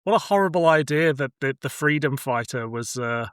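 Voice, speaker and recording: A man's voice: medium pitch, a little fast, clean tone, dry recording. Blunt, sweary man chatting, close and dry. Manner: blunt, sweary, acts out imagined thoughts in quotes. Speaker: podcast host. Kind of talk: casual podcast chat about cult sci-fi.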